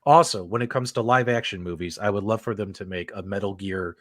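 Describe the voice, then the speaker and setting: A man co-hosting a casual panel chat, talking with eager enthusiasm and big swings in pitch. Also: brisk, a bit bright, no room sound, studio quality. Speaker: co-host.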